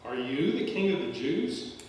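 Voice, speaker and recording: Distant, reverberant, man acting questioning Roman governor, lively intonation, scripture drama. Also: fairly high pitch, measured pace. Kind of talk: church dramatic reading of scripture. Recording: distant, very echoey hall, noisy.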